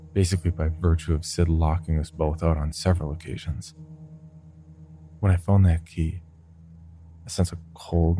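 A man's voice: low-pitched, vocal fry, warm tone. A man narrating a scripted first-person horror story for a podcast.

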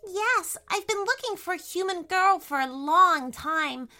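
A woman's voice: very high voice, animated, measured pace, pushed and bright, soft background music, voice pushed up, heavily acted. A woman voicing a playful, polite little mother hen in an emotional, exclaiming storybook style. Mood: pleading, hopeful.

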